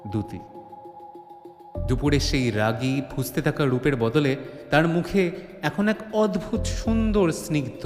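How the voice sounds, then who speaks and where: A male narrator tells a dramatic story in a highish voice with very lively intonation. The sound is echoey with a long reverb, over a faint music bed.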